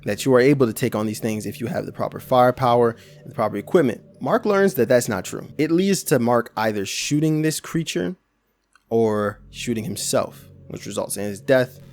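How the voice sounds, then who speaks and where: A young man with a gravelly, creaky voice talks through a horror series in casual, rambling commentary. He often restarts phrases and drops slang. His pitch sits in an ordinary mid range. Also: fairly quick. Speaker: YouTube commentator.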